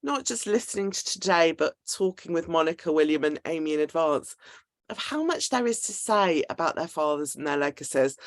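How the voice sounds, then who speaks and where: A woman with a slightly low voice, recorded close and dry. She moderates a discussion event informally, as its host. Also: no echo.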